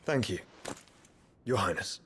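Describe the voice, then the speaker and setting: Low-voiced, humble noble warrior. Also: male voice, lively intonation, measured pace, background noise. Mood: sincere. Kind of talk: dramatic video game cutscene acting.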